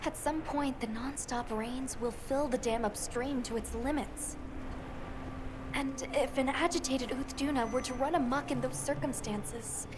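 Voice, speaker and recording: A woman's voice: high voice, fairly even intonation, measured pace, noisy background. A woman playing a composed, earnest guild expedition official in a video game. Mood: concerned. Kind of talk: video game character acting.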